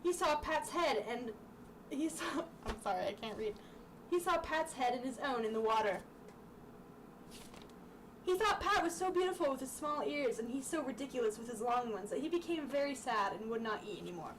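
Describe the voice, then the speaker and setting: Far off mic w noise, young woman w higher voice reading out loud casually, giggly side comments. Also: animated, normal speed. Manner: giggly, breaking off into asides. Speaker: amateur vlogger reading childhood books aloud. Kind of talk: casual chatty read-aloud with asides. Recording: distant mic with clear background noise.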